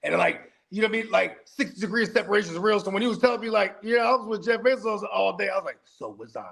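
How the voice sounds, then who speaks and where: A man with a fairly high, gravelly and husky voice, chatting loosely on a podcast. He rambles with street slang and lots of fillers, laughing often as he talks. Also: expressive, quick pace, fairly bright tone. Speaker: podcast co-host. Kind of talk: casual podcast chat.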